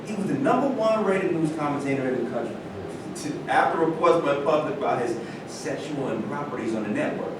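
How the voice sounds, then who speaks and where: A man's voice: animated, brisk, few pauses, close to an everyday voice. A man acting in a staged play reading as an aggrieved, cynical famous former athlete, speaking conversationally. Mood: bitter. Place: live staged reading in a roomy indoor space. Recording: echoey room from far off, rumbling noise.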